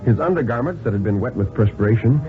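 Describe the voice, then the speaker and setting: A thin, band-limited 1950s radio recording carries a man reading a thriller story. His delivery is deliberate and dramatic, with a lively, wide-ranging pitch that shapes each phrase. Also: phone-quality sound, over music.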